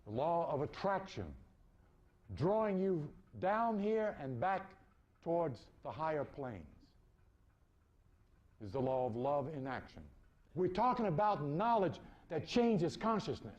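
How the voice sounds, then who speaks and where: A man delivering a spiritual lecture in deliberate, preacherly phrases with rhetorical questions, on a dull, muffled recording. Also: a little high, animated, a little mellow.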